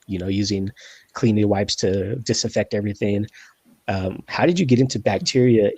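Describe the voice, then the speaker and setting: A man's voice: fairly low pitch, darkish tone, slightly rough, no echo. A man hosting a podcast, asking long, rambling questions in a friendly, casual way. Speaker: podcast host. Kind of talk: casual interview.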